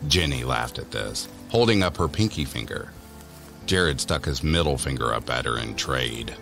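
A man's voice: rumbling low, animated, normal speed, vocal fry, a bit bright, heavily acted. A man narrating a scripted spooky story about teens, as a storyteller in an audio drama. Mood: measured, eerie. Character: male storyteller of a spooky teen tale. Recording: music under the voice.

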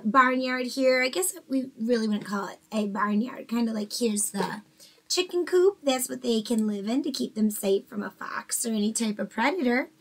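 A woman in her forties with a fairly high voice, teaching a math lesson to small children. She speaks gently and encouragingly, checking in with the kids through questions. She is recorded from her bedroom on a laptop webcam. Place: bedroom at home, indoors.

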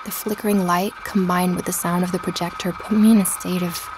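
An actress playing a curious woman investigator who hosts a podcast in an audio drama, speaking in a measured, explanatory way. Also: fairly low pitch, level tone, background noise, lightly acted. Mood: reflective. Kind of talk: scripted documentary-style podcast narration.

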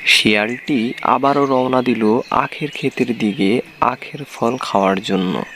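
A man's voice: mid-range voice, measured pace, a bit bright, a little hiss or hum, low-quality recording. A man narrating a scripted children's folk tale.

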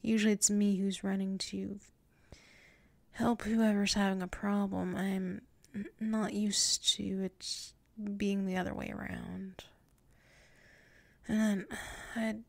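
A woman's voice: medium pitch, gravelly, a little mellow, no echo. A woman playing a submissive yet proud tsundere werewolf in an intimate ASMR roleplay, murmuring hesitantly and often slipping into whispers. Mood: flustered, unsure. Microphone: close mic.

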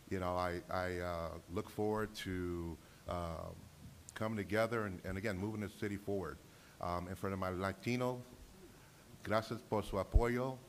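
A middle-aged man, a city council member, giving an earnest, halting thank-you speech at a public meeting. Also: lowish voice. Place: large council chamber, indoors. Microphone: gooseneck desk microphone. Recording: noticeable background noise and hiss.

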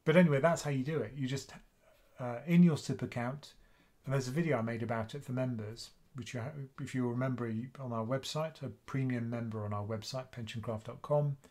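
A man in his fifties with a British accent, hosting a live investing Q&A stream from a small home room, chatting casually in a quiet voice. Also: medium pitch, fairly even intonation, a little fast. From United Kingdom. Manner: casual, chatty, soft-spoken. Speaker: investing channel host.

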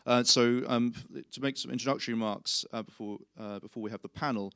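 A man's voice: mid-range voice, steady tone, creaky, a bit bright, smooth, no echo, clean studio sound. A man in his forties introducing a seminar as moderator, speaking on a handheld mic with many fillers. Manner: measured, filler-heavy. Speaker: panel moderator. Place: conference hall, seated on stage.

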